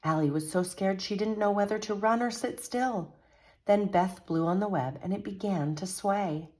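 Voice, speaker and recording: A woman reading a children's picture book aloud as a storyteller, expressive and teacherly. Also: mid-range voice, moderate pace.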